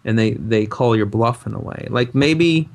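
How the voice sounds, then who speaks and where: A man's voice: medium pitch, normal speed, vocal fry. A man co-hosting a podcast, musing in casual chat with a hesitant, thoughtful delivery. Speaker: podcast co-host.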